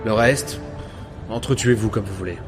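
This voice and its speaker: A man's voice: fairly low pitch, moderate pace, lightly acted. A man playing a sardonic, grumbling adventurer over music. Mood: resentful, grumbling. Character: gruff, sardonic male adventurer, impatient loner. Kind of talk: audio adventure drama acting. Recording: loud music behind the voice.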